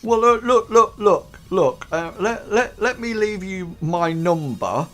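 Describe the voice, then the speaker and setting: Eager, stammering recruit, played by a man; high-ish. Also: animated, takes it slowly, faint music behind. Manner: stammering, hesitant. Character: nervous, eager young male recruit.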